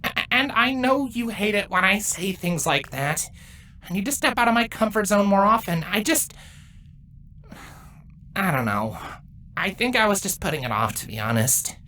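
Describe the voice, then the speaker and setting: Woman voicing a high, bright, timid little-brother creature. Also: very animated, moderate pace, long reverb tail, full character voice. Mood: anxious, apologetic. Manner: hesitant, stammering, earnest. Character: timid, gentle, sensitive younger-brother changeling, male insect creature. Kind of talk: audio drama character acting.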